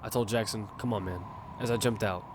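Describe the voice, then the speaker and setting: A man's voice: lowish voice, fairly even intonation, brisk, background noise, lightly acted. An actor narrating as an earnest young squad leader telling his own story in an audio drama. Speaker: narrator and lead character. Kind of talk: first-person audio fiction narration. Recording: music bed underneath.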